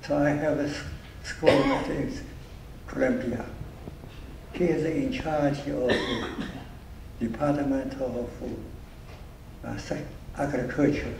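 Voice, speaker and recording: Slow older man, heavy Chinese accent. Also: mid-range voice, fairly even intonation. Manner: halting, repeats words, broken English. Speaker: award honoree speaker. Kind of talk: speech with audience Q&A. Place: event hall. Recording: echoey room from far off, faint noise.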